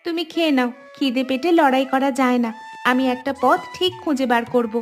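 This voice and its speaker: Cartoon village girl, stubborn and sharp, reassuring her granny as a woman voices her; bright, high voice over light music. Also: fairly quick, natural voice. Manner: firm, reassuring. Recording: soft background music.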